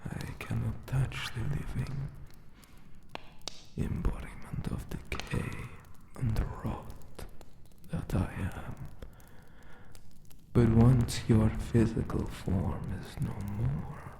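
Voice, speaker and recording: A man playing a lonely, possessive ancient Anubis guardian in an ASMR roleplay, speaking formally and tenderly with menace in a breathy half-whisper. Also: mid-range voice, takes it slowly, pauses often, reverberant. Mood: lonely, yearning.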